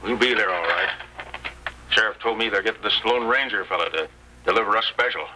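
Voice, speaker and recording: An actor playing a nervous, slow-witted outlaw sidekick in an old Western radio drama, talking in anxious cowboy slang. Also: male voice, on the low side, lightly acted. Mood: worried. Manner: anxious, colloquial cowboy talk. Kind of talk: 1930s-40s Western radio acting. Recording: faintly noisy old-time radio recording.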